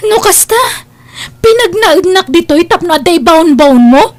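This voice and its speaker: A woman's voice: very high voice, lively intonation, unhurried. A woman playing a lazy, defiant young wife in an audio drama, speaking with an Ilocano accent. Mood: sulky, defiant.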